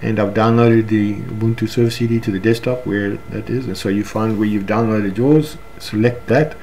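A man with a South African accent talks through a software screencast step by step, unscripted and halting. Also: on the low side, steady tone. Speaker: software tutorial instructor. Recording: clear background noise, room ambience.

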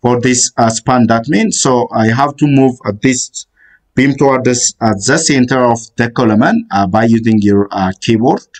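A man narrating a screen-recorded software tutorial, giving step-by-step instructions in a halting way with many "uh" fillers. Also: mid-range voice.

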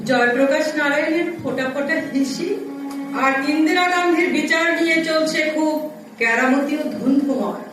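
A woman reciting poetry dramatically, with theatrical declamation. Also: high voice. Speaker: recitation performer. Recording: echoey, distant, music behind. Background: music.

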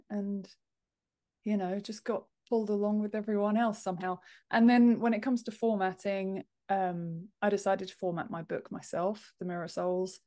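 Casual English woman, mid-pitched voice. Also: warm tone. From Britain. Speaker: author and podcast guest. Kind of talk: casual interview chat. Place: home office. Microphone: wired earphones on a video call.

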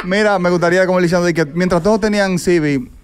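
A man with a Dominican accent, co-hosting a radio comedy show and joking quickly, often laughing while he acts out little scenes. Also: high-pitched, smooth. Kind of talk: joking banter. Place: radio studio. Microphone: studio mic with headphones.

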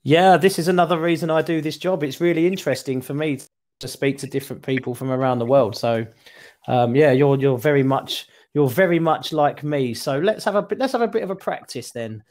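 A middle-aged British man tutors English over a headset on a video call. He talks in a relaxed, chatty way from a small room, often restarting his sentences with a repeated word. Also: a little high, fairly quick. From United Kingdom. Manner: chatty, repeats words when starting a thought. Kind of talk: casual conversation lesson.

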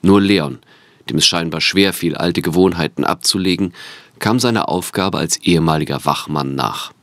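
A man's voice: deep voice, moderate pace, a bit bright, dry recording. A man reading scripted narration for an audio drama.

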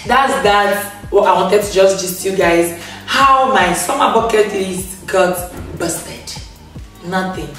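A young woman chats to camera from her sofa, expressive and quick to laugh, her voice still recovering after she lost it. The room rings with strong echo, and she sits a little back from the mic. Faint music plays underneath. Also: lowish voice, far from the mic. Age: young adult. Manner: chatty, expressive, laughs often, with hand gestures. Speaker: vlogger. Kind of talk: casual storytime rant to camera. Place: indoor living room, on a grey sofa.

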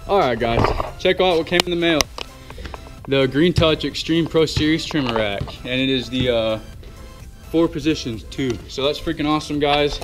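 A man's voice: on the high side, moderate pace, rough recording. Young man, upbeat lawn-care vlogger talking to a handheld camera, music bed behind. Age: young adult. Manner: chatty and upbeat, many fillers and "guys". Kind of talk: casual vlog talk to camera. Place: outdoors by a house and garage, earlier in a truck. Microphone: action camera held close.

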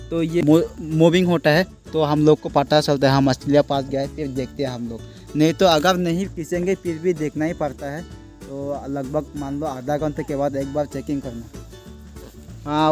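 A man's voice: on the high side, a little mellow. A young man with a northeast Indian accent gives casual, rambling vlog commentary, explaining things to viewers and repeating himself, recorded outdoors on a phone. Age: young adult. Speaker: village fishing vlogger. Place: outdoors on a small wooden boat on a river. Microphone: phone at arm's length.

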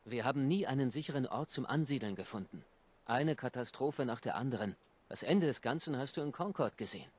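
An actor playing an earnest, weary militia soldier in a video game, speaking in a measured way. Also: male voice, mid-range voice, lively intonation, a little mellow, natural voice. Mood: somber. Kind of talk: video game dialogue acting.

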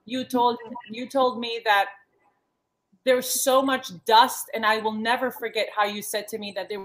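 A woman's voice: a little high, little pitch movement, measured pace, rough recording. Earnest woman on a video call. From born in the States, raised in Yugoslavia. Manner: earnest, many restarts and fillers. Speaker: panel guest, former model. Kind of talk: panel discussion on a video call. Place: home living room. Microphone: laptop webcam on a video call.

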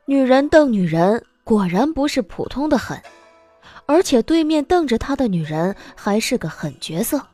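A woman's voice: a little high, sing-song, moderate pace. A woman narrator reading a story in a dramatic audiobook style. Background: soft background music.

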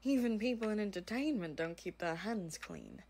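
A woman's voice: mid-range voice, animated, moderate pace, a little mellow, slight background noise, natural voice. A woman playing a serious, exacting aide with a formal, dry manner in an audio roleplay. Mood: serious, concerned. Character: serious, exacting female crime-business aide, dryly exasperated. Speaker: voice actor. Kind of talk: amateur audio roleplay acting.

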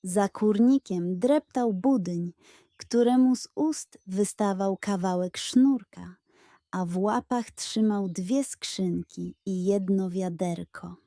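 A woman narrating a scripted bedtime story for children in an expressive, playful way. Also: medium pitch, slow pace, no echo, studio quality.

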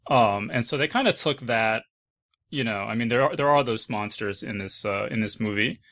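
A man's voice: on the low side, normal speed, fairly bright tone. A man hosting a podcast, talking frankly and conversationally in a casual film discussion. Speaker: podcast host. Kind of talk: casual panel discussion of films.

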